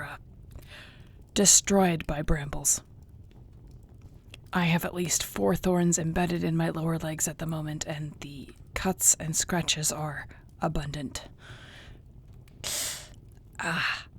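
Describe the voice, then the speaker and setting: A woman playing a battered, tough but affectionate orc girlfriend in an intimate fantasy audio roleplay, with a husky, gravelly voice and a wry, wearily understated way of talking. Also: low-pitched, slight background noise. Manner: sighing, conversational, wearily understated.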